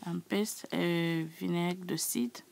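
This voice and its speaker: Woman a bit hoarse from a sore throat, lowish raspy voice, chatting thru a recipe. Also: little pitch movement, slow pace, darkish tone, a little hiss or hum. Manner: chatty, addresses her subscribers directly. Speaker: cooking channel host. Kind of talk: casual cooking tutorial.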